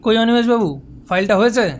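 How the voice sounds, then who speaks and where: Some noise surrounds a man acting a male office manager with a distinctly high voice. He is brisk and businesslike, polite on the surface but demanding, in cartoon style. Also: lively intonation. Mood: questioning.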